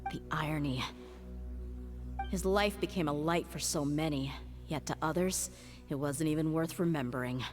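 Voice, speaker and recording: Actress as a low-voiced, commanding mercenary woman. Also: moderate pace, long pauses, a little mellow, faint music behind, natural voice. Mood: pensive. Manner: firm, blunt, demanding. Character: stern, commanding young woman mercenary with grief beneath. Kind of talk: video game voice acting.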